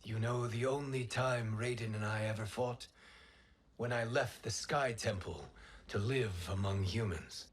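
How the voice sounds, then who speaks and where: An actor playing a calm, noble wind god in a cinematic video game, speaking in a formal, measured, earnest way. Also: male voice, fairly low pitch, natural voice.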